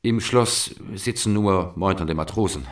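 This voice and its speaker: An actor playing a sober, deferential German colonel in a radio drama, speaking in a restrained, matter-of-fact way. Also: male voice, low voice, unhurried. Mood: sober, explanatory.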